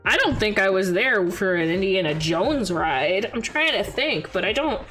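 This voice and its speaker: A young adult woman chatting casually on a livestream as its host, expressive and giggly as she tells stories and answers viewers. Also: medium pitch, normal speed. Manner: giggly, animated storyteller with expressive exclamations. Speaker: VTuber streamer, host. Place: indoor livestream. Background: background music.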